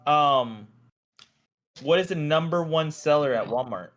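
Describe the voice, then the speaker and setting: Male trivia asker, fairly high pitch, informal conversational delivery. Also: expressive, a little slow, fairly bright tone, a little hiss or hum. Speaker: trivia quizmaster on a chat show. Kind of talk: casual chat.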